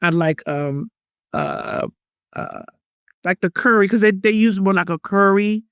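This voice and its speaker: A woman's voice: mid-range voice, measured pace, no room sound, clean studio sound. A woman hosting a podcast in casual chat, sassy and repeating her words, calling her guest honey and girl. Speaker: podcast host.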